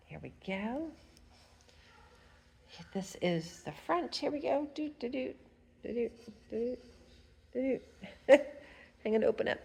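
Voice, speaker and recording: A woman's voice: on the high side, vocal fry. A woman with a stuffy nose, chatting casually at home while showing her knitting, humming to herself as she works. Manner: chatty, rambling, hums while working. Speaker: fiber arts vlogger. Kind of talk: casual knitting tutorial chat.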